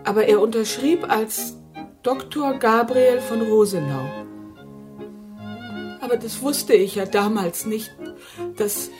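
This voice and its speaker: An actress playing a frail, plaintive, deceived fiancée who testifies haltingly in a radio crime drama. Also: female voice, a little high, music in the background. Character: frail, faint-hearted woman, deceived fiancée giving testimony.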